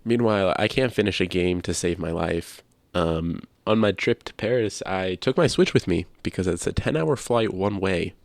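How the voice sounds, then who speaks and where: A man with a creaky voice co-hosting a casual video game podcast, chatting conversationally. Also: normal speed, hardly any pauses, dry recording. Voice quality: low creaky voice. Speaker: podcast co-host. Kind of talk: casual podcast chat about video games.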